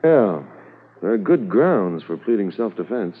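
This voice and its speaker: A man's voice: on the low side, big pitch swings, unhurried, slightly rough, exaggerated voice. A man playing a senior police officer in a 1950s radio drama, formal, judicious and authoritative. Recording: tinny 1950s radio recording.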